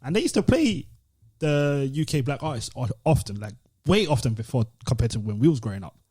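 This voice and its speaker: Husky British man, mid-pitched. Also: sing-song, fairly quick, warm tone. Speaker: podcast host. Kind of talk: casual discussion.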